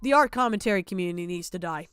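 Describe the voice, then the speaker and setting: A woman's voice: on the low side, expressive, racing, a bit bright, clean tone, no room sound, studio quality. A woman streamer giving a casual, blunt reaction rant, swearing heavily and indignantly.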